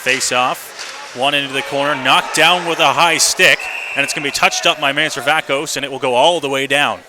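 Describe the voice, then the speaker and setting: A man calls live hockey play-by-play on the radio at a medium pitch. Clear rink noise sits behind his voice throughout. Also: fairly even intonation, moderate pace. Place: indoor ice rink. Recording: noisy live arena radio broadcast. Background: loud rink ambience and noise.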